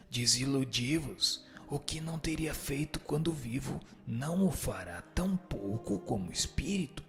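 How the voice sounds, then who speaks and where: A man acting a slow, gravelly, solemn spirit teacher. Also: medium pitch, fairly bright tone, full character voice. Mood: stern, admonishing. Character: solemn male spirit instructor answering questions. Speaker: answering voice in a radio drama. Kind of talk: dramatized reading of a spiritist text.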